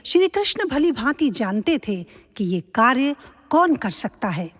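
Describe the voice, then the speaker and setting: Female narrator, fairly high voice, measured formal epic storytelling, long hall reverb. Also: big pitch swings. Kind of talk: formal scripted mythological narration.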